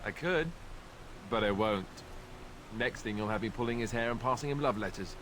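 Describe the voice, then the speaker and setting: An actor playing a sarcastic young adventurer in a video game, teasing in a dry way. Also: male voice, mid-range voice, moderate pace, background noise, close to an everyday voice. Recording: game audio with noticeable ambience.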